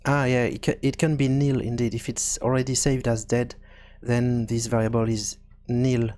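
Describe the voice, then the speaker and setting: A man presenting an unscripted programming tutorial, hesitant and halting as he thinks aloud. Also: mid-range voice, little pitch movement, darkish tone.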